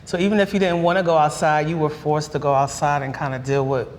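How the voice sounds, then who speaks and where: A woman in her forties, a comedian, chatting on a talk panel in a relaxed, conversational way. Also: deep voice, quick pace. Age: 40s. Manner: relaxed, conversational, many fillers. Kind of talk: candid panel chat. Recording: reverberant room with faint noise.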